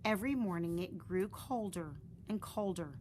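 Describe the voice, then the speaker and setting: A woman reading a children's fairy tale aloud in a gentle, expressive storytelling manner. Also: on the low side, normal speed, a little hiss or hum.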